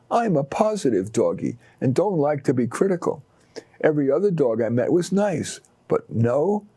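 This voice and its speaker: A man's voice: fairly high pitch, warm tone, breathy edge, no echo, full character voice. A man voicing a scruffy stray dog with a positive, naive temper, chatting earnestly in a storybook tale. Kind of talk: first-person short story reading.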